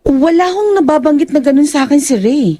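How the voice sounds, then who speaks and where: A worried young daughter, played by a woman in an audio drama. Her voice is fairly high, respectful and inquiring. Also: animated, brisk, mellow.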